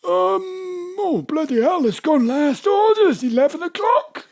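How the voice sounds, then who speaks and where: A man playing an excitable, plaintive pub landlord in an audio drama, with a naive manner. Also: extremely high pitch, measured pace, creaky, full character voice. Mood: flustered.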